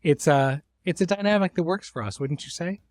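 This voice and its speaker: A man's voice: fairly high pitch, brisk, a little mellow, dry recording. A man talking reflectively as a series creator in a behind-the-scenes podcast, explaining things articulately with vivid imagery.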